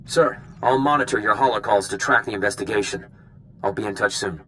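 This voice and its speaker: An actor playing a cool, dutiful undercover field agent reporting in a video game. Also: male voice, on the low side, brisk, pushed and bright, a little hiss or hum, low-quality recording. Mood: cool, businesslike. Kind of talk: dramatic game dialogue.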